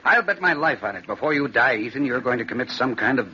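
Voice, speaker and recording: Old-time radio rustic: blunt, wary, folksy working man, bright forceful voice, animated. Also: mid-range voice, quick pace. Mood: gruffly earnest. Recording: dull, hissy old radio recording.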